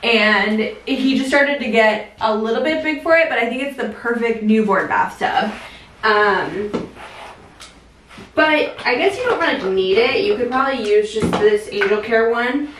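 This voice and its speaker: A woman's voice: a little slow, a bit bright, roomy sound, far from the mic, slight background noise, low-quality recording. A young woman chatting casually to a handheld camera as a vlogger and new mom, gushing and talkative. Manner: gushing, chatty, talks to her baby in a high sing-song. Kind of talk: casual vlog chat. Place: store aisles, then home bathroom.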